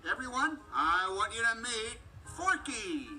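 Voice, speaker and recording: A woman's voice: on the high side, animated, a little slow, noisy background. A woman voicing an earnest, anxious male cowboy toy with emphatic delivery in a storybook read-aloud.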